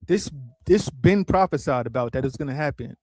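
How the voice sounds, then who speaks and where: A man's voice: mid-range voice, animated, a little mellow, no echo. A man leading a group study over a stream, who repeats what is read, explains it and keeps asking his listeners if they follow. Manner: keeps checking in with "right?" and "you feel what I'm saying?"; repeats lines back slowly for emphasis. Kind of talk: casual spoken teaching, read-along commentary. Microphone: streaming setup, close mic.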